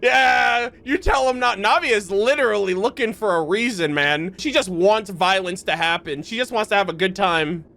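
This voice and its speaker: A woman streamer giving casual, excited commentary on a story game, slangy and profane in her reactions. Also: medium pitch, moderate pace, hardly any pauses.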